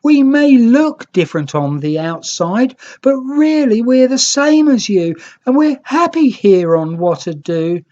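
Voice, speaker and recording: A small, hopeful seafaring creature from a storybook played by a narrator exclaims earnestly. The voice sits far up in pitch and pours out each phrase with feeling. The recording is a bit dull. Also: big pitch swings, measured pace, few pauses, a little mellow. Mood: earnest pleading.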